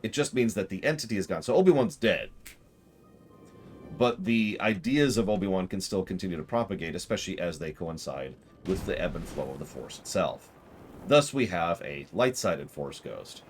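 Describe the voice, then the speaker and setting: A man with a very low, animated voice talks through a game on a live stream. He explains things discursively, working out his thoughts as he speaks, with music playing underneath. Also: normal speed. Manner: discursive, explanatory, thinking aloud. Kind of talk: casual live stream commentary.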